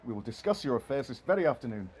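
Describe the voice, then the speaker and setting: An actor playing an elderly reformed miser in an audio drama, with theatrical, exclamatory Victorian speech. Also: male voice, mid-range voice, sing-song, racing, darkish tone, breathy edge. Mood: jubilant. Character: elderly reformed miser, giddy with joy and eager generosity.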